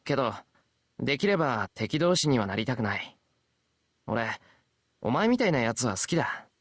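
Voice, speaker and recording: A man's voice: medium pitch, very animated, fast, a bit bright, no echo, clean studio sound. An actor playing an earnest, kind teenage boy in a Japanese visual novel, frank and understated. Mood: sincere.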